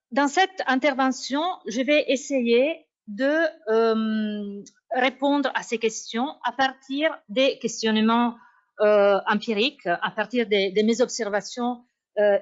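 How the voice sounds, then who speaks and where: A woman with as her language, giving a formal academic conference talk and often pausing to search for words. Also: on the high side. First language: Italian. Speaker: university researcher. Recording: dull lo-fi sound.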